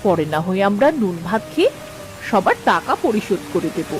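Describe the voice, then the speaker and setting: A woman's voice: medium pitch, very animated, fairly quick, lightly acted. A woman playing a caring, insistent middle-aged village mother-in-law in a Bengali cartoon, homely and coaxing, over music. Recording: background music under the voice.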